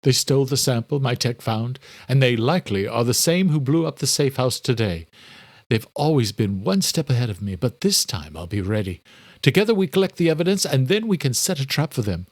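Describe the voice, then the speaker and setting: A man's voice: medium pitch, sing-song, a little fast, no room sound, clean studio sound. A man voicing a wry, determined doctor of science in a horror audiobook.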